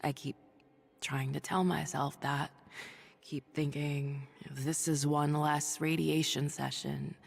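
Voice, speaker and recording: An actress playing an ailing, stubborn woman doctor turned hero in a video game drama, speaking in a halting, confiding but resolute way. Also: sits low, flat delivery, in a large hall, full character voice. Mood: tired, confiding. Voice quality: husky, breathy, creaky. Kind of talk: dramatic video game acting, audio log monologue.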